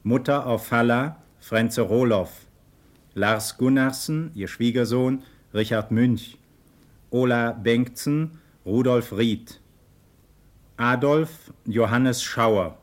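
A man reading radio credits as an announcer, formal and measured. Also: mid-range voice.

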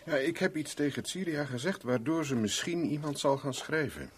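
An actor playing a cool, persistent private investigator in a 1970s radio play, dry, guarded and polite. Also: male voice, a little high, expressive, normal speed, husky. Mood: probing, guarded.

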